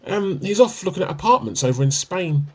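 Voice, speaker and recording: A man's voice: sits high, lively intonation, fairly quick, slightly rough. An amateur actor, a man, playing an easygoing, evasive husband in a conversational domestic radio play. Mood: easygoing banter. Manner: conversational, bantering. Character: easygoing, evasive husband, bad at keeping secrets.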